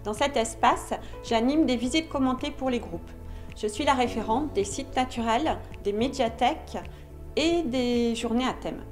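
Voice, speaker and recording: A woman's voice: on the high side, unhurried, a little mellow, soft background music. A woman giving a scripted presentation as a visitor centre guide.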